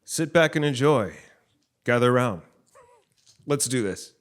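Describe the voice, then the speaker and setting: A man's voice: medium pitch, expressive, a little slow. A man hosting and narrating a live audio play from a theatre stage. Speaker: host and narrator. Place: theatre stage before an audience.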